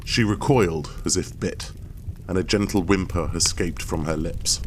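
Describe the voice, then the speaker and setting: An actor playing a proud, bitter, obsessed expedition leader and husband, delivered as grandiose, theatrical first-person audio drama narration. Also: male voice, low-pitched, normal speed, slight background noise, close to an everyday voice. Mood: grim, remorseful.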